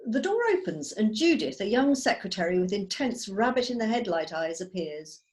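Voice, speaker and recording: A woman with a mid-pitched voice reads stage directions as narrator. It is scripted narration, recorded over a video call. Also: very animated, normal speed, darkish tone. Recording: video call table read.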